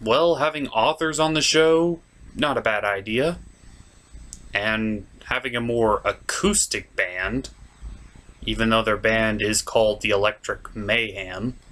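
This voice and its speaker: A man's voice: on the low side, measured pace, fairly bright tone. A man hosting a scripted comedic review, with theatrical, exasperated reactions. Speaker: host of a review show.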